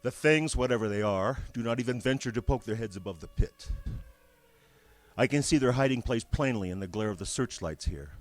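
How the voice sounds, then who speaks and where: An actor playing a male army signal captain reporting from the field in a 1930s radio drama, calm and official. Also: mid-range voice, a little fast, natural voice. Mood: assured. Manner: calm official tone rising into alarmed shouting. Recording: old radio broadcast recording.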